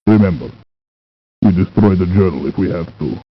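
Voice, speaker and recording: A man's voice: deep voice, expressive, normal speed, husky. An actor playing a stern villainous henchman giving orders in a video game. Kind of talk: video game character voice acting. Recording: muffled game audio, faint hiss.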